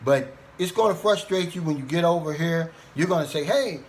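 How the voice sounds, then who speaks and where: Middle aged american guy, kinda high voice, folksy casual vlog talk, some background noise. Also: a little fast, low-quality recording. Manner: folksy, earnest, plain-spoken. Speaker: vlogger. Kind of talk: casual chat to viewers.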